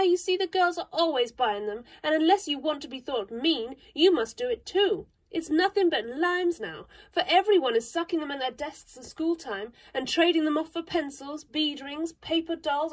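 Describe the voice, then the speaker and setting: A woman's voice: high-pitched, voice pushed up. A woman voicing a vain young girl who is fond of big words, speaking in an earnest, fussy, chattering way.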